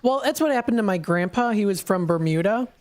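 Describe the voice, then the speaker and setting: A man's voice: high-pitched, lively intonation. Hesitant friendly radio co-host man. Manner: friendly, hesitant with many fillers, reads numbers slowly. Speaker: radio show co-host and vendor. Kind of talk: live radio call-in chat.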